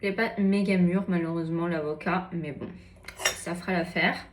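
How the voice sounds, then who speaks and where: A woman's voice: on the low side, fairly even intonation, normal speed, roomy sound, a little hiss or hum. A woman in her early thirties, chatting casually and upbeat as a vlogger, partly while cooking in her kitchen. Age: early 30s. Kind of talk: casual vlog talk to camera. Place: home kitchen.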